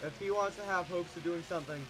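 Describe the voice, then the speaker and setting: A man announcing a monster truck show in the style of an arena announcer, stretching out his hype calls, and he is losing his voice. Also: noisy background. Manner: booming, drawn-out hype calls. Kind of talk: hyped arena announcer commentary.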